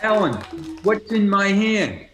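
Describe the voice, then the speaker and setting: Male audience member with a high voice, slow and joking, on a video call. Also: animated, a bit bright, faint music behind. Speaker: audience participant. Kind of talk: casual chat.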